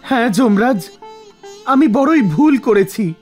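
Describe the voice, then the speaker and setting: An actor voicing a stubborn old mortal man in an animated mythological story, arguing insistently with the gods. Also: mid-range voice, slow, faint music behind. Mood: remorseful. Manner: argumentative, accusing, insistent.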